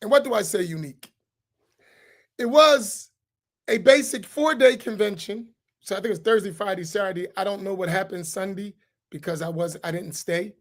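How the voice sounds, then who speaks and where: A man's voice: high voice, normal speed. A middle-aged man from the United States, an imam speaking on a roundtable panel in an emphatic, preacherly way, often checking in with the audience with rhetorical questions.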